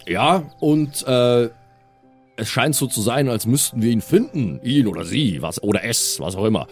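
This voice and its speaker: Man, medium pitch, tabletop role-play player, improvised dialogue, music in the background. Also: expressive, normal speed. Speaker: player in a tabletop role-playing audio show.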